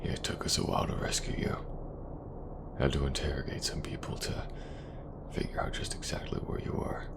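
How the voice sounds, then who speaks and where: A man playing a gruff, protective mercenary commander, speaking softly and reassuringly in an ASMR audio roleplay. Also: monotone. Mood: quiet, reassuring. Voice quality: gravelly, very deep. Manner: slow, soft-spoken, reassuring.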